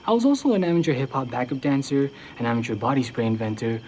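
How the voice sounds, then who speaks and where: A man's voice: mid-range voice, sing-song, fast talker, a little mellow, slight background noise, rough recording. A young man from Florida in a sitcom scene, talking in a dim, easygoing slacker way. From Jacksonville, Florida. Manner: dim, laid-back slacker talk. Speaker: sitcom character. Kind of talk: sitcom acting.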